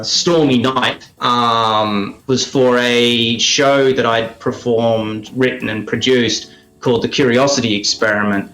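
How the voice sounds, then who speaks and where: A man's voice: medium pitch, fairly bright tone. Guy in an echoey room talking through his sound effects work, bit hesitant, music faint behind. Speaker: radio drama maker and sound effects artist, interview guest. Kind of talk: casual interview explanation and demonstration.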